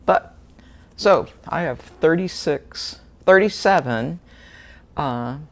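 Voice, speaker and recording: A woman's voice: sits low, lively intonation, slow. A woman, hosting a book channel and chatting casually through an unboxing with fillers and small laughs. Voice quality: slightly gravelly.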